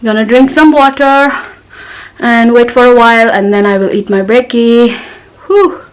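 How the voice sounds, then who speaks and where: A woman's voice: a little high, normal speed, clean tone, rough recording. A young woman whose first language is Nepali, chatting casually through her day on a home vlog. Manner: relaxed, chatty, talks straight to camera. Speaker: vlogger. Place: home, indoors.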